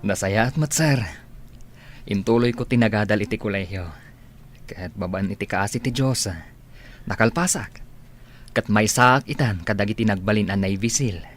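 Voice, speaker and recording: A man's voice: on the low side, normal speed, long pauses, slight background noise, lightly acted. A man acting a humble, friendly villager in an Ilocano radio drama, with an Ilocano Filipino accent. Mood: respectful, grateful.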